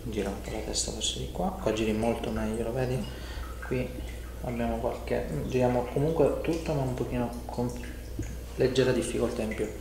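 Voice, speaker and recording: A man talks a patient through a chiropractic session in short, repeated, encouraging prompts. His pitch is average for a man. The room is very echoey, with clear noise behind him. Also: fairly even intonation, moderate pace. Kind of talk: calm hands-on instructions to a patient. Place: large indoor living-room-like treatment room.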